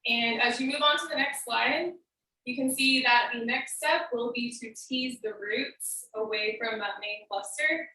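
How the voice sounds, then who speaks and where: Bright-voiced woman presenter in an echoey room. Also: a little high, measured pace. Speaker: student giving a demonstration speech. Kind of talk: prepared instructional speech, casual delivery. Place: indoor room on a recorded video meeting. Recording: roomy, echoey, distant microphone.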